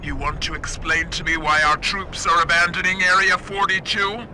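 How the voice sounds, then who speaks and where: Game role: skeptical male official, cutting and commanding, slightly high voice, noisy backdrop. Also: flat delivery, bright, forceful tone. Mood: cold, skeptical. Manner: measured, cutting, authoritative. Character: stern, skeptical government agent questioning a general. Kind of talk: video game cutscene acting.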